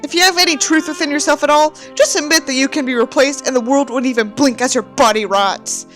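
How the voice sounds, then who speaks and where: High-pitched, whiny, pompous, melodramatic misanthrope writer played by a woman, mock dramatic reading, faint music. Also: a little fast, fairly bright tone. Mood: anguished accusation. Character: whiny, self-important, bitterly nihilistic male writer, mockingly melodramatic.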